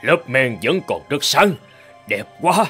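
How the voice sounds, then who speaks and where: A man playing a careful archaeologist leading a dig in an animated fairy tale, with an instructive manner. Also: mid-range voice, slow, soft background music, full character voice. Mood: admiring.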